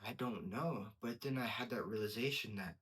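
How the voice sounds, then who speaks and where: A young man with a stutter gives a casual personal testimony as a podcast host, repeating words and pausing mid-sentence. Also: fairly low pitch, a bit bright, slightly rough.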